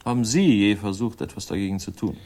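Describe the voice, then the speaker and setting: A suave and condescending man, played by an actor in a 1960s radio crime play. He speaks in a lowish voice with urbane polish and haughty irritation when pressed. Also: fast, darkish tone, lightly acted. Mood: defensive, smooth. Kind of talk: 1960s German radio crime drama acting.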